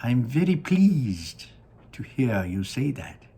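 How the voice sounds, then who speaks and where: A man voicing an eccentric, earnest old chocolate maker in a storybook, excitable and emphatic, with drawn-out words. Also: medium pitch, slightly rough, heavily acted. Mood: fond, solemn. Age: older.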